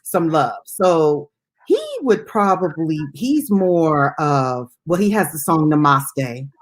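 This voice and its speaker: A woman's voice: sits low, lively intonation, slow pace. A middle-aged woman co-hosting a casual music chat, talking in a playful, informal way that wanders into asides. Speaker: radio show host.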